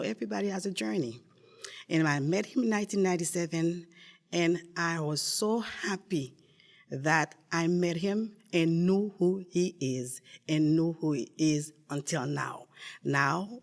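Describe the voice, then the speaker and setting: An older woman from Haiti, a podcast guest telling her faith story earnestly, with many pauses and repeated words. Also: low voice. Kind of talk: casual testimony.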